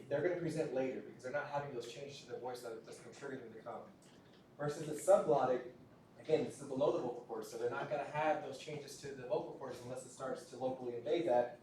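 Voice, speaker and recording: A man lecturing in a relaxed, conversational way, circling back over his words and checking in with the class as he goes. His voice sits a little high and sounds set back from the mic. The room rings with a strong echo under a faint hiss. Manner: conversational, repeats words, frequent "right?" checks. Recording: roomy, distant lecture-hall recording with faint noise.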